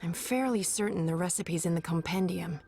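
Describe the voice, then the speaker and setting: Woman playing a brisk young potion-maker, gravelly. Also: on the low side, warm tone, slight background noise, natural voice. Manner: muttering to herself as she works.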